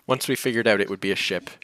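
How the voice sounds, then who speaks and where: A man's voice: fairly low pitch, no echo. A man chatting casually on a livestream Q&A, explaining his team's work at length over a video call. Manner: relaxed, explains at length, chuckles between points. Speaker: game developer answering questions.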